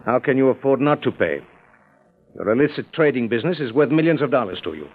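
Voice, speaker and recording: A man's voice: medium pitch, lively intonation, natural voice. Man acting a smug radio-drama blackmailer, tinny sound. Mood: mocking threat. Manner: suave, deliberate, mockingly polite. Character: smug, smooth-talking male blackmailer, greedy and mocking. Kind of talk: old-time radio crime drama acting. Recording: muffled, narrow old radio recording.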